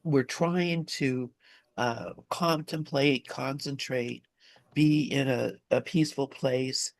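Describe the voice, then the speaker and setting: Man, mid-pitched, hesitant and repetitive, reflective casual discussion, dry sound. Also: steady tone, dry recording. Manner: halting, groping for words, often repeating himself. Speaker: discussion group participant.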